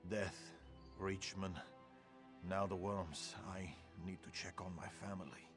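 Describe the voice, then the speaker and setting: An actor playing a gruff, weary centurion in a video game, plain-spoken and wry. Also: male voice, low-pitched, fairly even intonation, measured pace, over loud music. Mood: fond, wry. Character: gruff male soldier, a centurion and family man, weary. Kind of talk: video game dialogue acting.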